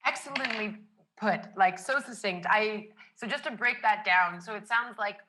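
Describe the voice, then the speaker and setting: A woman's voice: mid-range voice, moderate pace, noisy background. A woman hosting a podcast, summarizing her guest's points enthusiastically with many fillers. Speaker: podcast host. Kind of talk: casual podcast interview.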